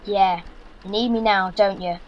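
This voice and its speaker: A man's voice: high-pitched, steady tone, measured pace, muffled recording. A young man playing a sarcastic, exasperated fifteen-year-old son in an audio drama, with a Yorkshire accent and blunt delivery. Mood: indignant. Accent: Yorkshire English. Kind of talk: naturalistic audio drama acting.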